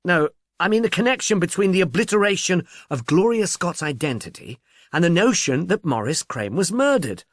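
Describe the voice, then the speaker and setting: A man's voice: high voice, big pitch swings, normal speed, no echo, pitched above the performer's own voice. An impatient, clever amateur detective , acted out by a male reader lectures emphatically in an audiobook mystery. The voice swoops up and down with great animation, recorded very dry. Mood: emphatic.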